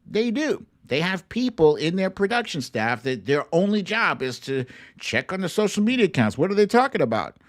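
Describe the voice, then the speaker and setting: A man hosting an online show in a playful, animated, casual style with some swearing. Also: high voice, normal speed. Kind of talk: casual livestream chat, comic picture-book reading and political commentary.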